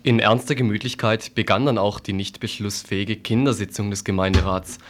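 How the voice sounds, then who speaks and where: A man's voice: on the low side, fairly even intonation, fairly quick. A man reading a scripted satirical radio report with an ironic, mock-earnest delivery.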